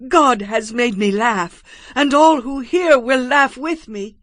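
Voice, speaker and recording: A woman's voice: a little high, slow pace, a bit bright, no room sound, clean studio sound. A woman playing an elderly, emotional biblical mother in a formal, dramatic scripture production. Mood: joyful wonder.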